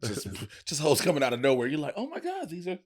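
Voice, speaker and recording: A man co-hosting a podcast, telling stories in animated, slangy, casual banter from a studio. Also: on the high side, fast talker. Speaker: podcast co-host and stand-up comic.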